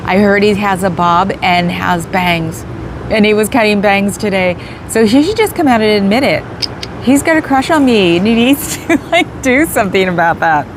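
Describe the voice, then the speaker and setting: A woman's voice: medium pitch, animated, moderate pace, background noise. A woman chatting casually on a livestream, thanking viewers by name and laughing often. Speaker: livestream host. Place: in a parked car outdoors.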